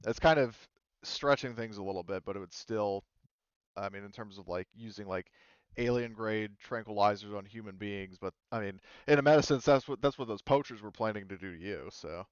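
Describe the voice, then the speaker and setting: A man's voice: fairly low pitch, brisk, clean tone, dry recording, highs cut off. A young man running a tabletop game online, rambling and hedging with lots of fillers. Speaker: game master.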